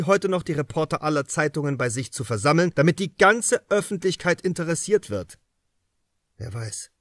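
A man reading as a confident detective in a crime audiobook. He lectures methodically and assertively, with very lively intonation and a dry, close sound. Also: on the high side, no room sound. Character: confident male police detective explaining his deductions. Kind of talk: audiobook character dialogue.

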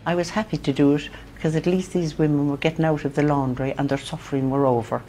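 Older lady, very low mellow voice, quiet recollection, faint room hum. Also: steady tone, fast talker. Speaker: interviewee, former laundry worker. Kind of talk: interview testimony. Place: sitting room indoors.

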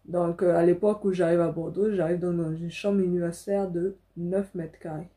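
A woman's voice: lowish voice, steady tone, normal speed, dark tone. A young adult woman from Africa telling a personal story casually to camera as a vlogger, indoors in a small room.

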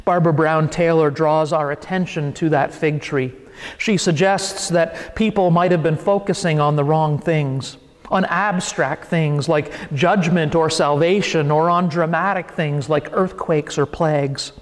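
A man's voice: on the high side, fairly even intonation. Middle-aged male pastor preaching in a reverberant church. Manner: earnest, measured preaching with rhetorical repetition. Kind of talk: sermon. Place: church sanctuary, speaking from a pulpit.

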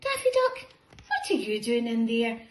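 A surprised little storybook animal, put on by a woman reader, scolding whoever took its bed. The questions are exaggerated and sing-song. The pitch is high and leaps around, and the pace is slow. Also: wildly swooping pitch, echoey room, a little hiss or hum, poor audio. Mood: gently scolding.

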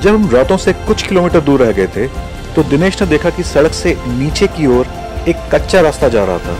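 A man from India tells the story of a mountain road trip in a calm, reflective voice-over. His pitch sits a little high and moves a lot. Music plays under him. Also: normal speed. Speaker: travel vlogger narrating. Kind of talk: voice-over narration. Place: voice-over, not recorded on location.

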